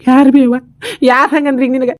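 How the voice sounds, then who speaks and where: A woman's voice: high voice, little pitch movement, brisk, close to an everyday voice. A woman playing a blunt but concerned grown son in a family drama, insistent and questioning, with a North Karnataka accent. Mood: anxious pleading. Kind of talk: melodramatic family drama acting.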